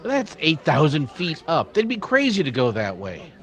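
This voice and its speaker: A man's voice: on the high side, huge pitch swings, normal speed, fairly bright tone. A man acting an earnest townsman who tells and plays out a western radio drama with dramatic feeling. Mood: urgent, persuading. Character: earnest, reasonable townsman narrating a western tale.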